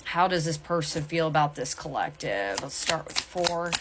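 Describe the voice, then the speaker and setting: A woman's voice: deep voice, lively intonation, moderate pace, noisy background. A woman tarot reader talking casually and candidly to her viewers through an intuitive reading, with emphatic asides.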